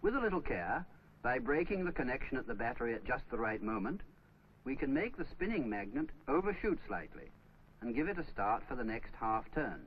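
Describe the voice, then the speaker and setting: A man's voice: mid-range voice, sing-song, brisk, husky. A man narrating a scripted 1950s instructional film, explaining step by step in a didactic way. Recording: dull, noisy old 1950s film soundtrack.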